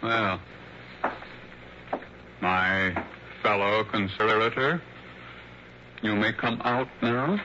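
An actor playing an urbane, quietly scheming male writer in a 1960s radio drama, speaking in a hesitant, teasing, genteel way. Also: lowish voice, very slow, long pauses. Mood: sly, teasing. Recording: dull, faintly noisy old radio broadcast.

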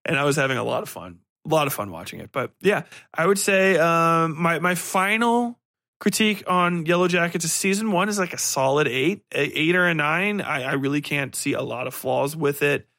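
A man's voice: fairly high pitch, animated, normal speed, pushed and bright, no room sound, studio quality. A man hosting a solo podcast, giving casual review commentary in a rambling, think-aloud way with some casual swearing. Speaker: podcast host.

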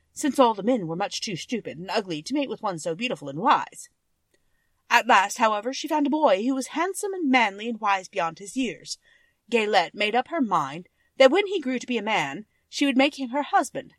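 A cheerful winged monkey king, played by a woman reading a storybook, recounts old tales expressively. The voice is fairly high and very lively in pitch, recorded close and dry. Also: dry recording. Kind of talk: children's storybook character narration.

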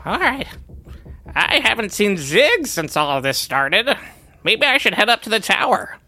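A woman voicing a bewildered, sensible friend who asks deadpan questions and sometimes squeals in surprise. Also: medium pitch, a little slow, exaggerated voice. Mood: exasperated.

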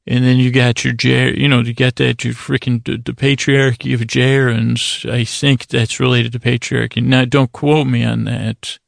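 A man with a creaky, gravelly voice hosting a bedtime podcast, rambling whimsically and stumbling over and repeating his words. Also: medium pitch, level tone, normal speed, hardly any pauses, dry recording, studio quality. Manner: whimsical, absurd humour, acting out imagined conversations. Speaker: podcast host. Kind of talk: rambling, improvised bedtime-story podcast monologue.

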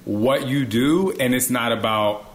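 A man's voice: medium pitch, unhurried. A man hosting an interview who asks encouraging, admiring questions. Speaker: interview host. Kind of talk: conversational interviewing.

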